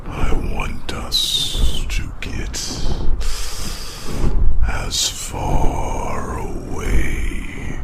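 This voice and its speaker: A man voicing an ancient, weary male dragon in an ASMR fantasy roleplay, solemn, formal and protective, with a gravelly, breathy growl. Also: heavy background noise. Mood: gentle, reassuring. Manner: slow, solemn, formal, halting phrases.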